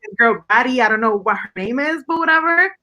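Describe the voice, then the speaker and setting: A woman's voice: high-pitched, expressive, brisk, pushed and bright. A woman from Venezuela with a Spanish-tinged accent, hosting a casual roundtable chat over a video call. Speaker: host.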